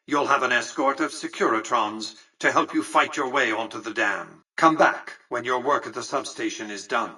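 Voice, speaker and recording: An actor playing an imperious, calculating tycoon in a video game, speaking formally with pompous condescension. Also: male voice, mid-range voice, moderate pace, few pauses, pushed and bright, breathy edge, distant mic, poor audio. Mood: businesslike. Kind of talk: scripted game character dialogue.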